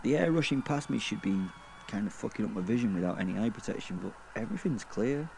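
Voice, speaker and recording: A man voicing a crude, sardonic werewolf who narrates a dark fantasy audio drama in a halting, deadpan way. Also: on the low side, creaky, slight background noise. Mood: deadpan, irritated. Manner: sweary, deadpan, wry, halting. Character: crude, sardonic male werewolf narrating his own afterlife.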